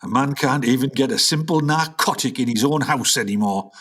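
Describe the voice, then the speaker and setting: A man's voice: medium pitch, a little fast, a bit bright, close to an everyday voice. A man playing a washed-up older jazz musician and father, grumbling and indignant in a Northern English accent, in a comic radio play. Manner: griping, indignant, rambling.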